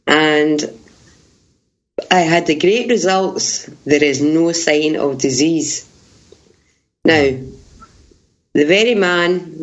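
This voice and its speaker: A woman with a big, loud voice, telling her story in an animated way as an interview guest and laughing as she goes. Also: low-pitched, highs cut off. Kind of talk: casual storytelling.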